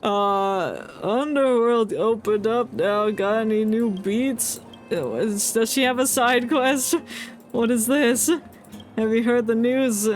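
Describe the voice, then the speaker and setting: A woman's voice: on the high side, slow, faint music behind. A woman streaming a video game with casual, reactive commentary and playful, mock-earnest asides.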